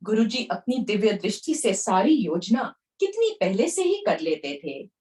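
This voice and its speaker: A woman's voice: mid-range voice, sing-song, unhurried, a little mellow. A woman reading a devotional memoir aloud, recorded at some distance from the microphone. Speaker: book reader. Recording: distant, far from the mic.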